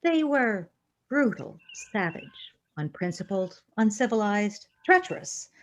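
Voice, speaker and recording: A woman playing a female alien science officer in a fan audio drama, unemotional, formal and precise, with deadpan delivery. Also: medium pitch, unhurried. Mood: dry, composed.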